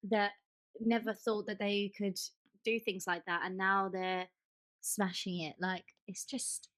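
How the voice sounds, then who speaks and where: A woman's voice: medium pitch, flat delivery, unhurried, a bit bright, no room sound. A woman co-hosting a podcast in casual chat, gushing and emphatic, often repeating herself for stress. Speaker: podcast co-host.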